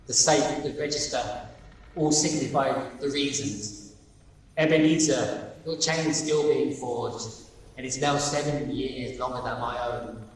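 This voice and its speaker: Guy playing solemn dead ghost man w chains, slow grave delivery, super echoey and distant, radio play. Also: mid-range voice, close to an everyday voice. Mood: solemn warning. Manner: grave, deliberate, portentous. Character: solemn male ghost of dead business partner, dragging chains. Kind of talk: amateur radio play acting. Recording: very echoey, distant, faint noise.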